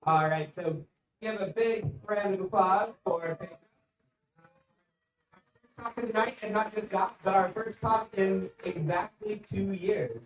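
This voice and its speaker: A man's voice: high-pitched, unhurried. A man hosting a live event, making casual announcements and introductions, heard from a distance in an echoey space. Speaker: event host. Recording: echoey room, distant from the mic.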